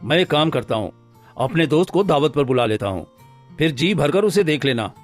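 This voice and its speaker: A man's voice: a little high, lively intonation, moderate pace, soft background music, lightly acted. A man playing a good-natured married village woodcutter in a cartoon story, earnest and homely in manner. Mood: agreeable. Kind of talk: Hindi cartoon story dialogue.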